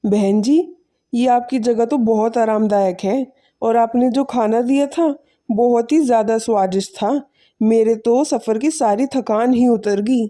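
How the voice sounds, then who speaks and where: A woman performs a poor village man from an animated folk tale. The voice is fairly high for the performer's sex and soft-edged, weary but kind, speaking humbly and earnestly. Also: measured pace, close to an everyday voice. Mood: humble, earnest. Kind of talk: animated moral story dialogue.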